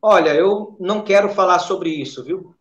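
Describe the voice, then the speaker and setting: Male pastor interviewee, Brazilian accent, hesitant and halting, dull lo-fi sound with strong room echo. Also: high voice, fairly bright tone. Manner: hesitant, halting, with repeated verbal tags. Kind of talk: spontaneous interview answers. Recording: echoey, dull lo-fi interview audio.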